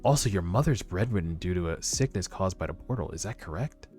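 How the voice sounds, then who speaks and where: Man reading as a low-voiced, polite corporate recruiter. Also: expressive, a little mellow. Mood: measured, courteous. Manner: measured, formal, persuasive. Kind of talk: webtoon read-aloud dub. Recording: background music under voice.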